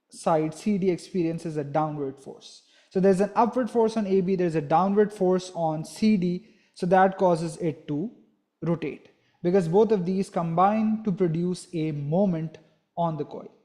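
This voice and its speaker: A man's voice: high-pitched, measured pace, dark tone. A young man giving a physics lesson, explaining step by step and often checking in with a "right?". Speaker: physics teacher.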